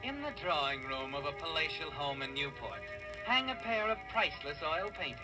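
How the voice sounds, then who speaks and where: A man's voice: a little high, big pitch swings, normal speed, over music, low-quality recording. A man narrating a mystery in dramatic old-time radio style, on an old broadcast recording.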